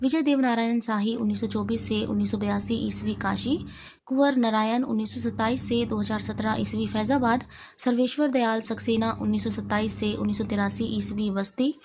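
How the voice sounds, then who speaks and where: Higher-pitched woman teacher, noisy recording. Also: steady tone, fairly quick. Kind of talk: exam revision lesson, reading out questions, answers and lists. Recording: clearly noisy amateur recording.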